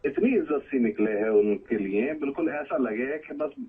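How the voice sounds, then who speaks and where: A man's voice: medium pitch, normal speed, husky. A man calling a radio show as a fan, talking casually, earnestly and insistently, repeating himself over the telephone. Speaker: phone-in caller, radio fan. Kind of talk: casual, earnest phone talk. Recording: narrow, muffled phone line.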